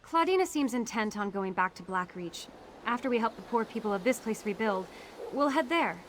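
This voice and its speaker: A woman's voice: on the high side, animated, normal speed, a little hiss or hum, lightly acted. An actress playing an admiring female ally in a video game, earnest and eager to help.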